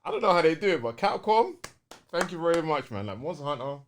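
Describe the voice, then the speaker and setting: A man's voice: on the high side, big pitch swings, quick pace, slight background noise. A young man with a London accent chatting casually and enthusiastically on a gaming podcast, using plenty of slang.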